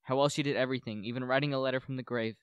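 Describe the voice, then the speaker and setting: A man's voice: mid-range voice, steady tone, racing, clean tone, dry recording, highs cut off, natural voice. A young man playing a bitter, gloating widower who poisoned his wife, in a theatrical, brooding radio play monologue. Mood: shaken, wary. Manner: melodramatic, brooding, declamatory.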